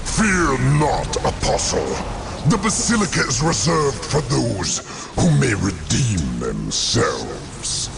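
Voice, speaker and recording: A man playing a cold, merciless dark lord in a video game, deliberate, condescending and menacing. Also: mid-range voice, huge pitch swings, gravelly, very noisy, full character voice. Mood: cold menace. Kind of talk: video game villain acting.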